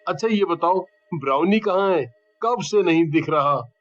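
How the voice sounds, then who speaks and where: An earnest, questioning young man played by a man in a cartoon story, with a high-pitched voice. The recording is dull, with faint music behind the words. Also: animated, a little slow. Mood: puzzled. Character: earnest, curious young man visiting his village home.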